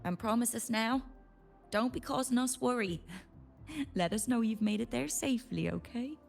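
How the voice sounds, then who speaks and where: A woman voicing a caring, motherly character in a video game, gently reassuring. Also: on the high side, lively intonation, moderate pace, gravelly, faint music behind.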